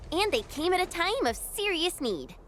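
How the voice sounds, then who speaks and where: A woman voicing an excitable, caring young female companion in a video game. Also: very high voice, slow pace, a little hiss or hum, exaggerated voice. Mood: cheerful. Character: excitable, concerned young female companion, high-voiced and animated.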